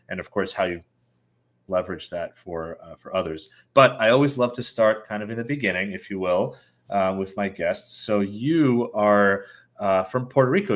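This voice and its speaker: A man's voice: lowish voice, fairly bright tone. A man hosting a podcast interview, speaking hesitantly with stumbling restarts and fillers. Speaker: podcast host.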